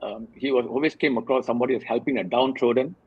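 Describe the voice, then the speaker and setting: A man in his fifties from Singapore, a guest in an interview, telling his story in an earnest, measured way with many fillers. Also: mid-range voice, husky, dry recording, muffled recording. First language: Tamil. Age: 50s. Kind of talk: reflective conversational interview.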